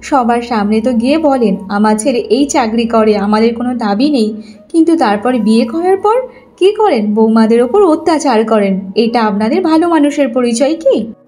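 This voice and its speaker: A woman's voice: on the high side, lively intonation, moderate pace, mellow. A woman playing a wronged young housemaid wife in a cartoon story, emotional and pleading, tearful yet defiant. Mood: indignant. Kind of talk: Bengali cartoon story acting.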